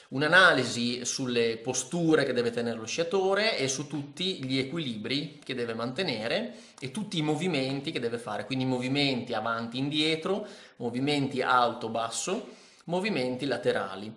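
A man's voice: mid-range voice, fairly bright tone. Thoughtful man in an echoey room. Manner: hesitant, many fillers and restarts, thoughtful. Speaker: ski vlogger reviewing a book. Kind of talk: casual spoken book review to camera. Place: living room indoors. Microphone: camera in front of face.

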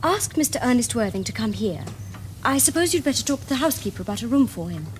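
A young upper-class English girl in a period comedy. She is sweetly artful and earnest, with a fairly high voice that rises and falls freely and crisp enunciation. The recording carries some background noise. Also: sing-song, fast. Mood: nervous excitement. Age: young adult. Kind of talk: period comedy screen acting.

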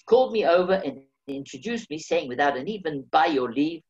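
A man's voice: on the high side, animated, a little fast, muffled recording, a little hiss or hum. A man, reading his own memoir aloud over a video call with dry, wry humor. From England. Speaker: memoir reader.

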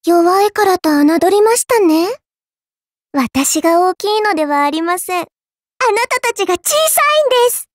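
A woman's voice: extremely high pitch, animated, normal speed. A woman voicing a dainty, childlike little girl in a mobile game, polite and prim in speech with a teasing, cruel streak. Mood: menacing, playful. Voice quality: childlike, cutesy. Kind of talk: Japanese mobile game character voice lines.

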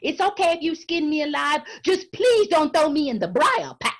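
A woman's voice: high voice, lively intonation, normal speed, voice pushed up. A woman voicing a sly, indignant male rabbit trickster from a folk tale, prim and huffy, then pleading.

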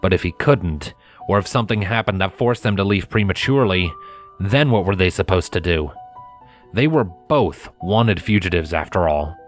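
A man narrating a scripted story in a measured, expressive storytelling manner, close to the microphone. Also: low-pitched, faint music behind.

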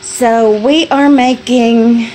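Woman vlogger, middle-aged, slow-spoken and folksy, slightly high voice, faint frying behind, phone mic in a home kitchen. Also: steady tone, dark tone, a little hiss or hum, rough recording. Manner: folksy, chatty, laughs easily. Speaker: homestead vlogger. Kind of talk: casual chat. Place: small home kitchen, indoors. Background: food frying and sizzling.

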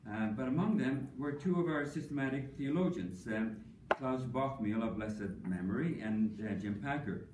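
An older man speaks at an academic tribute, reading prepared remarks in a measured way, sprinkled with ums and dry humor. He is heard from a distance in an echoey conference hall with a faint hum of ambience. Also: medium pitch. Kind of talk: prepared tribute speech with anecdotes.

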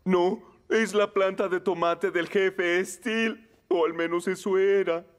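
A man's voice: high voice, fairly even intonation, slow. A man with a Latin American Spanish accent voicing a clumsy, easily flustered young firefighter in a cartoon dub, fretful and stammering.

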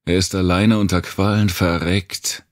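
A man voicing a harsh, reproachful inner voice that accuses a grieving survivor in a dark audiobook, with a gravelly tone. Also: sits low, little pitch movement, unhurried, no room sound, studio quality, voice pushed up. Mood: bitter accusation. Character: accusing inner voice heard by a grief-stricken survivor.

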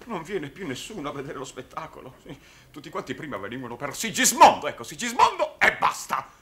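An actor playing a proud, downtrodden middle-aged circus clown who stammers and repeats himself theatrically. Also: male voice, a little high, big pitch swings, fairly quick, fairly bright tone. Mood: bitter. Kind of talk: 1960s Italian television drama acting. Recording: noisy old television soundtrack.

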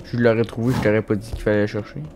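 A young man with a Québécois French accent chatting casually while playing a co-op game, relaxed and matter-of-fact. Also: lowish voice, rapid-fire, a little hiss or hum. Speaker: gameplay channel host. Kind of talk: casual co-op gaming chat. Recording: game music underneath. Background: game music.

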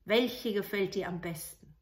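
Stately king performed by a female storyteller, formal, deliberate, storybook delivery. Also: mid-range voice, close to an everyday voice. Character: stately male king, fairy tale father.

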